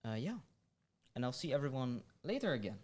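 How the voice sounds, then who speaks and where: A young man hosting a student podcast, speaking hesitantly with many pauses and giggles. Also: mid-range voice, very animated, a little mellow. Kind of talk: casual interview chat.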